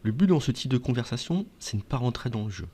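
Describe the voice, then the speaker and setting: A man's voice: medium pitch, expressive, fast talker, dark tone, husky. A man presenting advice to viewers in a conversational explainer style, often posing questions and answering them himself. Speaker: video presenter giving advice. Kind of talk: explainer talk to viewers, semi-scripted.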